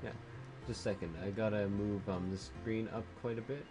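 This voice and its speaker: A man's voice: fairly low pitch, steady tone, moderate pace, over music. A Canadian man chatting casually and joking while streaming a video game, swearing now and then. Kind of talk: casual chat while gaming.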